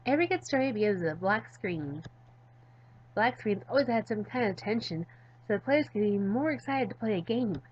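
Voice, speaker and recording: A woman voicing a goofy, excitable, ditzy teenage schoolgirl from a visual novel, rambling and dramatic. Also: mid-range voice, moderate pace, husky, lo-fi sound. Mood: dramatic, self-important.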